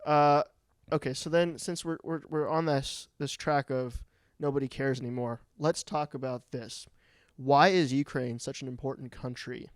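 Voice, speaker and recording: A man co-hosting a podcast in casual banter, informal and self-mocking, thinking out loud as he talks. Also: mid-range voice, fairly even intonation, normal speed, no room sound, clean studio sound. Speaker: podcast co-host.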